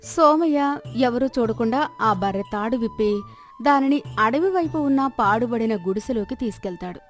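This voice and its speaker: A woman tells a scripted folk tale as narrator. Her voice is fairly high, and music plays underneath. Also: animated, moderate pace. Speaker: storyteller, narrator. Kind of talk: scripted moral-story narration.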